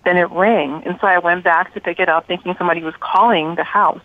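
Brief: forties woman from Liberia, calm storyteller, phone-line sound. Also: fairly low pitch, quick pace, dry recording. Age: 40s. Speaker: guest. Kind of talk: telling her life story.

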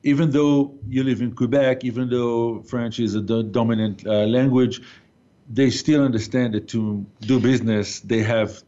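Hesitant host with a muffled sound. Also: male voice, mid-range voice, steady tone, normal speed, dark tone. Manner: hesitant, many fillers. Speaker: talk show host. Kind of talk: casual interview. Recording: dull lo-fi sound.

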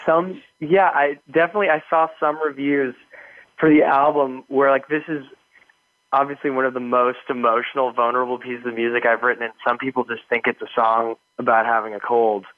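A man's voice: mid-range voice, flat delivery, fairly quick, dry recording. A male musician, a guest in an interview, talking about his song in a reflective, hesitant way with fillers. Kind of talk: casual interview talk. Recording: tinny phone line, dry.

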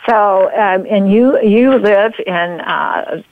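An older woman hosting a talk radio show, speaking in a halting way with many fillers and restarts. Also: mid-range voice. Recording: dull, lo-fi radio sound.

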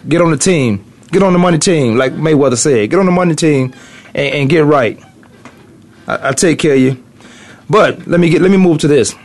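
A man's voice: fairly high pitch, a little fast, a little mellow. A middle-aged man hosting a morning sports talk radio show from a studio, rambling and thinking aloud as he goes. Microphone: studio mic.

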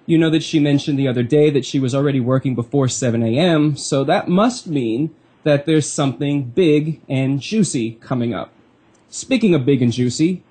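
A man's voice: mid-range voice, dark tone, husky. A man hosting a live internet radio show, stuffy from a cold, chatty and playful. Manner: playful, chatty, self-mocking.

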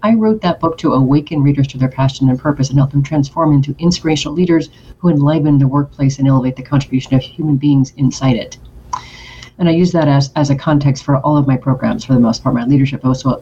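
A woman hosting a talk radio show, moving quickly through plugs and segues to her guest. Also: a bass voice, mellow, muffled recording, slight background noise. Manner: quick, promotional, smooth segues.

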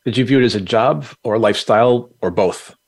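A man's voice: medium pitch, a little fast, breathy edge. A man hosting an interview podcast, reading a formal guest introduction and asking questions steadily. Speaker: podcast host.

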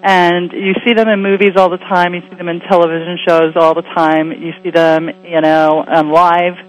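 Raspy woman host with a cold. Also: lowish voice, little pitch movement, poor audio. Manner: chatty, rambling, quick asides. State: slightly hoarse from a cold. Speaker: talk radio host. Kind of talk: casual radio interview chat.

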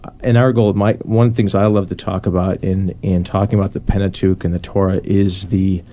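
A man's voice: sits low, little pitch movement, fairly quick, mellow. A man on a discussion panel, explaining casually and loosely, restarting phrases as he goes. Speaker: panelist on a Bible study discussion.

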